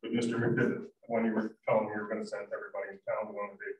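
A man's voice: fairly low pitch, level tone, racing. A man at a town board meeting angrily accusing board members and talking over them. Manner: angry, accusing, interrupting. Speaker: resident speaking at a board meeting. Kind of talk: heated confrontation. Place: town hall meeting room. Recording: distant mic in echoey room with faint noise.

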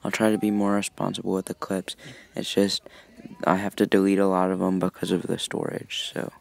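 A young man narrating his own biking vlog in a relaxed, rambling, apologetic way. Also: sits low, brisk, gravelly. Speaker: vlogger. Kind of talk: casual voice-over narration.

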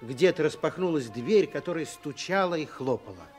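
High-pitched male radio-play storyteller. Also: animated, moderate pace, darkish tone, faint music behind. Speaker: narrator. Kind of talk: scripted storybook narration, 1960s radio play.

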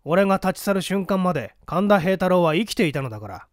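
A man's voice: high voice, very animated, fast, no room sound, studio quality. A man voicing a blunt, hot-headed newspaper reporter giving his account curtly in a mystery reading. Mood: indignant. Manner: curt, defensive. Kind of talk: dramatic storybook reading of a mystery.

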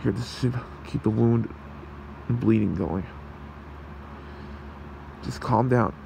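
A man playing a gentle, protective fox shapeshifter in human form in an ASMR roleplay, hesitant and soothing in his manner. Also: fairly low pitch, slow, creaky, noisy background, exaggerated voice. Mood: urgent, soothing.

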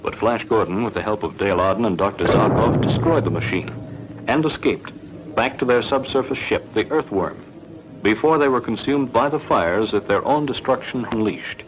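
A man's voice: lowish voice, a little hiss or hum. A man delivering dramatic scripted narration for a 1950s serial. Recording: muffled 1950s TV soundtrack.

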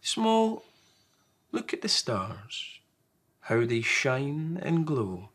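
A man's voice: medium pitch, sing-song, very slow, a bit bright, pitched above the performer's own voice, full character voice. A man voicing a big, patient, loving parent fox in a storybook, tender and reassuring. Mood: soothing, loving.

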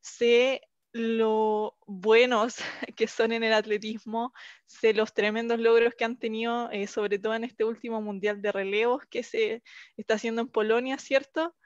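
Young Chilean woman, higher voice, presenting online; dry, slightly muffled call audio. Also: flat delivery, a little slow, clean tone, dry recording. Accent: Chilean Spanish. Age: young adult. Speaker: English teacher presenting a language project. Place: small indoor room, video call. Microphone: headset.

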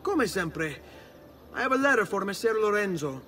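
Cocky young Italian charmer, lively pitch. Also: male voice, moderate pace, faint music behind, heavily acted. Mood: respectful. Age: young adult. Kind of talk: video game character acting.

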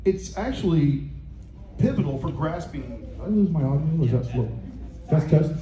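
A middle-aged man giving a conference talk on a handheld microphone in a hotel meeting room, loud and booming, with many fillers and restarts. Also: lively intonation, normal speed, highs cut off. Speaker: conference presenter. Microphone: handheld microphone through room speakers. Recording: echoey conference room, faint noise.

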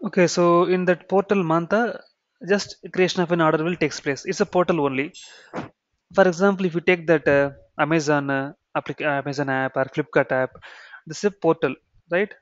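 A man with an Indian accent giving an explanatory software tutorial, repeating key phrases and checking in with "okay" and "right". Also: on the high side, steady tone, fairly quick, no room sound. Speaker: software trainer. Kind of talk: explanatory screen-share tutorial. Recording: dull, muffled lo-fi sound.

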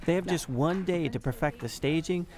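A man giving scripted documentary narration in a measured, even voice. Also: on the high side. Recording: narration over background music.

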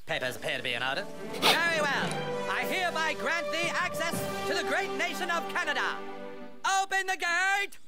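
An actor playing an officious, bombastic Canadian border guard in a comedy game, proclaiming ceremoniously. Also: male voice, extremely high pitch, very animated, normal speed, loud music behind the voice. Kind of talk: cartoon comedy game acting.

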